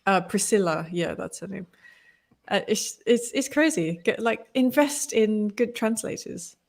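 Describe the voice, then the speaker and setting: A woman's voice: mid-range voice, lively intonation, a little slow, gravelly. A woman who speaks Malay as a first language, co-hosting a podcast in a casual, opinionated chat full of restarts. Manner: talkative, opinionated, many restarts. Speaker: podcast co-host.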